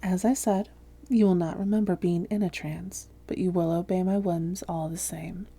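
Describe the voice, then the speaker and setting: A woman playing a seductive, domineering vampire posing as a therapist in an intimate roleplay audio, speaking softly and deliberately with frequent whispering. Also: lowish voice, fairly even intonation. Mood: commanding, hypnotic. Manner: soft, breathy, often whispering, deliberate. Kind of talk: intimate roleplay audio drama, hypnotic delivery.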